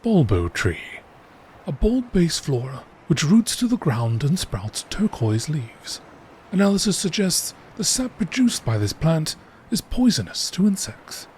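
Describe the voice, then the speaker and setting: A man reading scripted science-fiction encyclopedia entries in a measured, formal nature documentary style. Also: on the high side, wildly swooping pitch, a little mellow, slight background noise. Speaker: narrator reading game lore entries.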